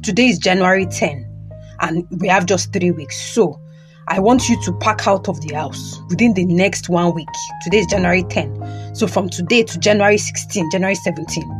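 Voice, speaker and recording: A man's voice: high voice, close to an everyday voice. A man playing a cold, contemptuous Nigerian husband in an audio drama, blunt, commanding and repetitive. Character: cold, contemptuous husband ordering his wife out.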